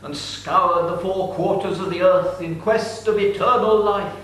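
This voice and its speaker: A man reciting an ancient epic in a slow, grave, declaiming way. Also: sits high, fairly bright tone, far from the mic. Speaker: reader. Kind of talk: dramatic reading of an ancient epic. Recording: echoey room, voice set back from mic with faint noise.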